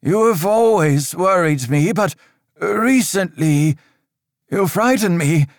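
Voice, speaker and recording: A man voicing a fearful old priest whose shrill voice quivers as he preaches and accuses. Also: lively intonation, takes it slowly, no echo, studio quality, heavily acted. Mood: stern, worried. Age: older.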